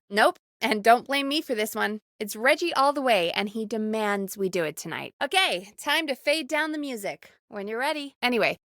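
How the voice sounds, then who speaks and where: A woman voicing a video game character, a brisk, wry late-night radio producer who talks in a bossy, teasing, conversational way. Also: fairly high pitch, a bit bright, no room sound. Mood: wry, dry. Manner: bossy, teasing, dry sarcasm. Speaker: radio show producer giving cues.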